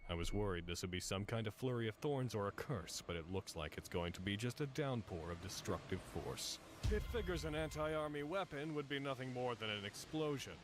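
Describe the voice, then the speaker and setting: An actor playing a sarcastic, taunting heroic archer in an animated parody, with a theatrical, mocking manner. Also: male voice, medium pitch, fairly quick, hardly any pauses, noisy background, close to an everyday voice. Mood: smug, measured. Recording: music behind the voice.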